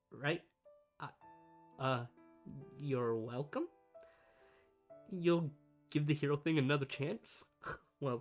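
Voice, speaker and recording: A man playing a needy but kind-hearted supervillain in a roleplay ASMR monologue, speaking to the listener in a dramatic, earnest, halting way. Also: mid-range voice. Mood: relieved, flustered. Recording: music behind the voice. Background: background music.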